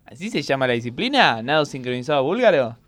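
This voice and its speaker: A man's voice: medium pitch, sing-song, fast talker, fairly bright tone, no echo. A man with an Argentine accent playing a curious, easygoing hospital stretcher-bearer in a radio comedy sketch.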